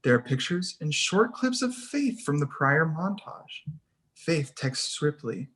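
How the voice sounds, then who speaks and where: Mid-pitched reader of screenplay directions. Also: very animated, slow pace, fairly bright tone. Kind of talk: scripted narration at a table read.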